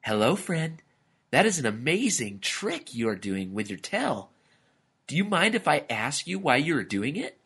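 A man voicing a friendly, curious female camel with a gentle, polite manner in a storybook. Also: a little high, sing-song, normal speed, few pauses, slightly rough, pitched above the performer's own voice, full character voice.

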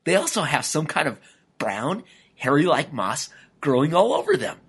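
A man voicing an excitable, anxious father lizard in a storybook, with dramatic exclamations. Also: a little high, moderate pace, slightly rough, pitched above the performer's own voice, full character voice. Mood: earnest, wary. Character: excitable anxious male father lizard, brave but easily panicked.

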